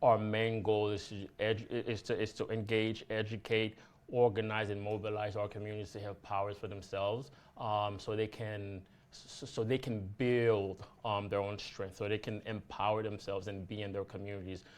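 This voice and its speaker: A Haitian-born man speaks earnestly as an activist guest on a talk show. His voice sits low, and he talks haltingly, with frequent fillers and false starts. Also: flat delivery. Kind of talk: casual interview chat.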